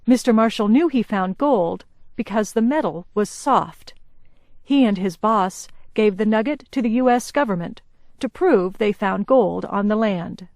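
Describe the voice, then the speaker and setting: A woman reading a scripted news report in a slow, even, carefully paced way. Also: mid-range voice, dry recording, clean studio sound. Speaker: news reader.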